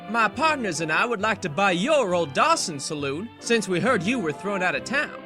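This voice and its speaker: A man's voice: sits high, big pitch swings, normal speed, pushed and bright, soft background music, exaggerated voice. A man acting a brash, confident Wild West lawman turned saloon businessman in a fan comic dub. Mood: businesslike.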